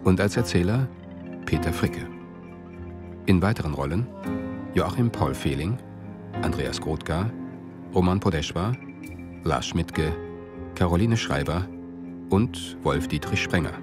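A man's voice: sits low, animated, unhurried, long pauses. A man reading out radio play credits as a formal scripted announcement. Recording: loud music behind the voice.

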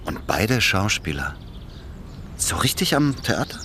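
An actor playing a chatty, naive working-class ex-convict in a naturalistic radio crime drama, speaking colloquially and jovially. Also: male voice, fairly low pitch, very animated, measured pace, sharp tone, a little hiss or hum, full character voice. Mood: curious, blunt. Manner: colloquial, jovial, simple-minded.

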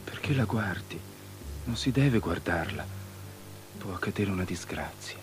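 An actor playing a foreboding page who uneasily warns a friend, ominous and insistent, in poetic radio drama style. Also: male voice, sits low, animated, a little slow. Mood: anxious warning. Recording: radio drama over loud music.

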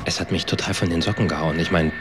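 An actor playing a troubled man recounting events in a radio drama, in a halting, colloquial way. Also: low-pitched, fairly even intonation, background noise, close to an everyday voice. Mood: troubled recollection. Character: troubled male swarm organizer recounting events. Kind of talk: confessional radio drama narration.

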